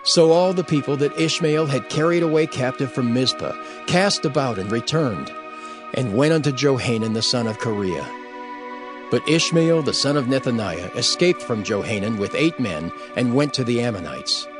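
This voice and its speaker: A man's voice: medium pitch, animated, moderate pace. A man narrating scripture from a script in a formal, solemn storytelling manner. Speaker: audio Bible narrator. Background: faint music.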